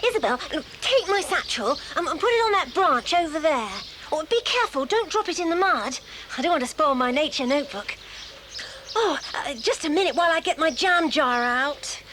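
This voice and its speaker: A woman's voice: sits high, lively intonation, normal speed, fairly bright tone, slight background noise. A woman playing a plucky, cheerful young English schoolgirl in a children's audio drama, eager and full of exclamations. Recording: outdoor nature sound effects behind.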